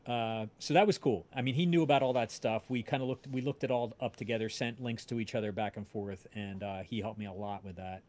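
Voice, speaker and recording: A man hosting a casual livestream chat, talking in a halting way as he searches for words. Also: lowish voice, a little mellow. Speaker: host of a cast and crew livestream, audio drama writer.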